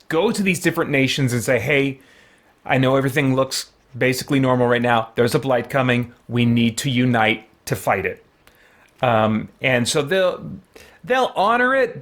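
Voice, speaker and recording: Guy streaming a game, medium pitch, chatty and informal, kinda rambling and thinking out loud. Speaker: live streamer, gaming channel host. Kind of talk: casual live game stream chat and recap.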